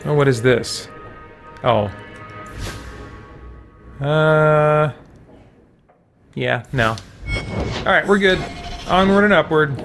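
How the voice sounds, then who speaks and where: A man's voice: on the high side, very slow, long pauses, fairly bright tone. Man doing casual gaming commentary over music. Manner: thinks aloud, exclaims at the game. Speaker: gaming video host playing a fantasy RPG. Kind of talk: casual let's-play commentary.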